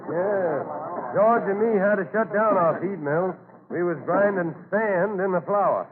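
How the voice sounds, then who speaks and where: High-voiced skeptical country miller. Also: male voice, a little slow. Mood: doubtful, glum. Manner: country grammar. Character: skeptical rural feed miller. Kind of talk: 1940s radio acting. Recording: narrow old radio, crowd behind.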